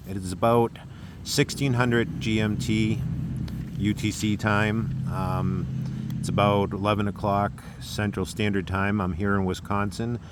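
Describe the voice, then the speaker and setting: An American man casually presenting a demonstration as a hobbyist, with a halting, unscripted delivery. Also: fairly low pitch, a little hiss or hum.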